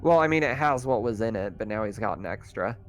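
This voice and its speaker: A man's voice: lowish voice, expressive, quick pace, faint music behind. A man running a tabletop roleplaying game as game master, narrating off the cuff in a casual, conversational way.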